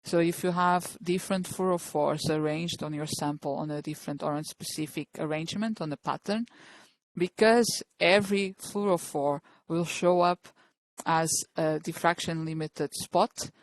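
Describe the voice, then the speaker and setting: A woman's voice: low voice, normal speed, poor audio. A woman from Portugal with a Portuguese accent, a researcher giving an informal academic lecture in English as a second language.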